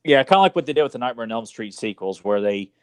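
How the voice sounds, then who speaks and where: A man co-hosting a podcast, chatting casually and swearing now and then like an animated fan. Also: mid-range voice, quick pace, clean tone, dry recording, clean studio sound. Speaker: podcast co-host. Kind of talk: casual chat about movies.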